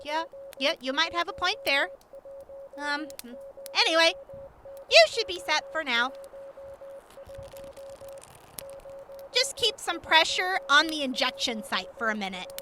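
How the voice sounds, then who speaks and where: An actress playing a tough, sassy but kind-hearted wasteland medic in a post-apocalyptic audio drama, salty and teasing. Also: female voice, high-pitched, expressive, normal speed, background noise. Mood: casual, teasing.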